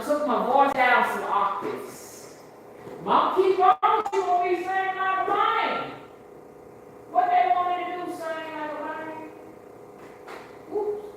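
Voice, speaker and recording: Hoarse, slow-talking woman. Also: on the high side. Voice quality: soft voice. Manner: rambling, joking, addresses viewers as y'all. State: hoarse and congested. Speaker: rootworker and spiritual reader hosting her channel. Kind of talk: casual chatty livestream talk. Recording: very echoey room, faint background hiss.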